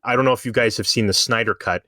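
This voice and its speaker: A man's voice: mid-range voice, fast talker, sharp tone, no echo, clean studio sound. A man casually discussing pop culture as a podcast panelist, reasoning things through at length in an explanatory way.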